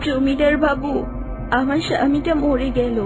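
A woman's voice: high-pitched, little pitch movement, normal speed, over music, lightly acted. An actress voicing a wronged young widowed mother in a cartoon, plaintive but firm and proud. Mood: pleading, defiant. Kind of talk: cartoon voice acting.

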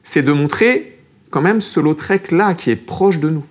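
A man's voice: a little high, measured pace, slightly rough. A man speaking as an art critic in a panel debate, circling back and repeating words as he builds his argument. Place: TV studio.

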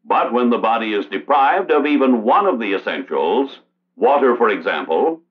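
An American man reading formal, measured narration for a 1960s instructional film. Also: mid-range voice, animated. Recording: dull vintage film soundtrack.

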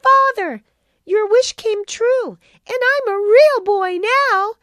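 A woman's voice: very high-pitched, expressive, slow pace, a bit bright, no room sound, studio quality, pitched above the performer's own voice, exaggerated voice. A woman voicing an eager little wooden puppet boy in a storybook reading, with a chirpy voice. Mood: excited.

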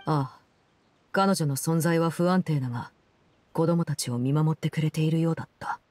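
An actress playing a cold, commanding woman in an anime game, speaking in a measured, formal, detached way. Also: low voice, darkish tone, no room sound, close to an everyday voice. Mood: calm, resolute. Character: cold, composed, commanding female Fatui Harbinger in her prime. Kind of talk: Japanese anime game voice acting, solemn storytelling.

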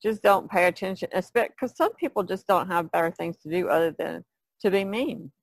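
Female podcast panelist, lowish voice with plenty of fry, casual conversational style. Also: moderate pace, no echo.

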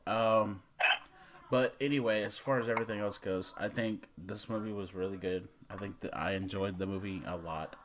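A man in his thirties giving a casual, unscripted movie review as a vlog host, rambling and halting, with some mild swearing. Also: fairly low pitch, steady tone, background noise. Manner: rambling, halting, mildly profane. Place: small room indoors at home.

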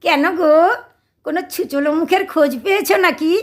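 A female actor plays a quarrelsome middle-aged wife in a domestic comedy radio play. Her voice is extremely high-pitched, with a West Bengal accent. She scolds and mocks in a sharp-tongued, theatrical manner. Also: fairly quick, natural voice. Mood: sarcastic. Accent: West Bengal Indian. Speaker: actress in an audio drama.

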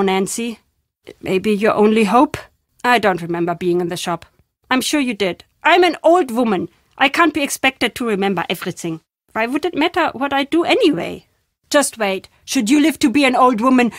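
An old wandering woman storyteller from a video game, played by an actress, with a voice on the high side. She speaks in unhurried, theatrical phrases with weighty pauses, both playful and wistful. The sound is dry, with no room around it. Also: no echo, lightly acted. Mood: grave warning, then defensive, scolding. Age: older. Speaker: game character.

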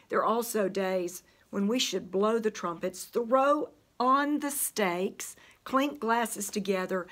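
An older woman with a Southern US accent leads a church Bible lesson at an unhurried, measured pace. Her voice is slightly worn as she recovers from the flu, and she leans on key phrases for emphasis. She is recorded by a desk camera in a church library. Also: mid-range voice. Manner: slow, deliberate teaching with emphasis on scripture quotes. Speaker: Bible study teacher. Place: church library with bookshelves, indoors.

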